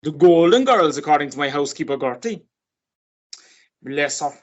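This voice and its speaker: A woman's voice: low-pitched, expressive, normal speed, lo-fi sound. A woman acting an ageing, boastful Irish male sporting champion in a radio play, chatty, pompous and persistent. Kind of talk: radio play monologue and dialogue.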